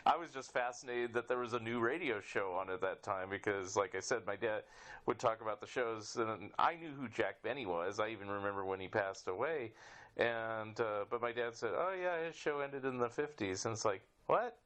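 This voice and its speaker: A man's voice: on the low side, moderate pace, fairly bright tone. A man in his fifties hosting a podcast, chatting casually in a rambling way as he interviews a guest. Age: 50s.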